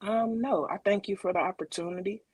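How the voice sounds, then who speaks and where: A woman's voice: medium pitch, brisk, a little mellow, husky, no room sound. A woman from Kansas City with an American accent, speaking casually and briefly as an auditioner. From Kansas City, Missouri, USA. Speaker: first-time auditioner, rapper.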